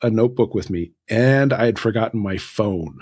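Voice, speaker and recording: Casting: male podcast guest, low husky voice, thoughtful conversational delivery with stop-start phrasing. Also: moderate pace, a little mellow. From Southern California, United States. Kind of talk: conversational interview advice.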